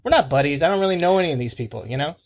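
A man co-hosts a podcast with a somewhat high, squeaky voice that has an abrasive edge. He talks bluntly and casually, keeping the microphone away from his mouth. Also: expressive, very fast. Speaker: podcast co-host. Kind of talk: casual chat. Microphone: microphone placed well away from him.

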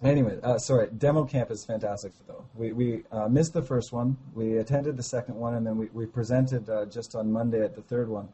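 A man with a slightly hoarse voice from a cold, talking about his startup in a halting, enthusiastic way during an interview call. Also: mid-range voice, fairly even intonation, dark tone, a little hiss or hum, rough recording. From Canada. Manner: halting, many restarts, enthusiastic. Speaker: startup founder guest. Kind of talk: casual interview over a call.

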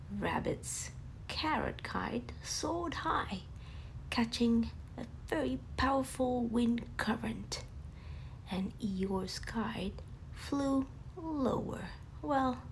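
A woman narrating a scripted bedtime story for children in a gentle, deliberate manner. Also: on the high side, creaky, slight background noise, low-quality recording.

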